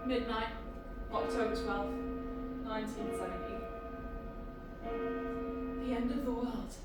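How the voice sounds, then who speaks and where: Woman acting anguished young clone girl, high voice, audio drama, super echoey and noisy. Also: unhurried, loud music behind the voice, close to an everyday voice. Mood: somber narration. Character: anguished young woman, a clone who believes she is human. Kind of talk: audio drama acting and first-person narration. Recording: very echoey, distant mic, noisy.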